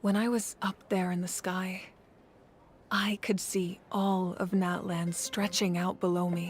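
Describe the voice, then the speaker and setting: A woman playing a weary, resolute and kind war leader in a video game, speaking in a measured, earnest way. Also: mid-range voice, lightly acted. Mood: weary regret.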